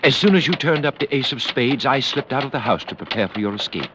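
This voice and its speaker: An actor playing a loyal, deferential colonel with formal, composed delivery, in 1940s radio drama style. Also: male voice, mid-range voice, animated, fast, close to an everyday voice. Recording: muffled, noisy old radio recording.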